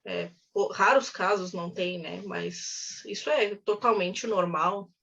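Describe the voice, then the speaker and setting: A woman's voice: mid-range voice, steady tone, slow pace, gravelly, a bit bright, far from the mic, muffled recording. A woman hosting a video call interview, chatty and reassuring, often restarting her sentences. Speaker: interview host.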